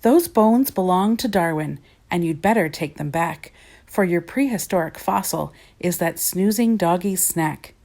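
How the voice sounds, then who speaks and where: A scolding mother cat from a children's storybook, put on by a woman narrator. She is bossy and commanding, with a mellow tone. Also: fairly low pitch, measured pace. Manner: hollering, commanding.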